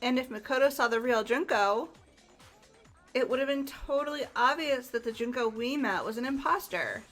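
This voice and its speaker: Woman plays earnest teen schoolgirl. Fairly high voice, inquisitive, video game dub. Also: normal speed, fairly bright tone, soft background music. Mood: reasoning. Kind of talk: amateur video game voice acting.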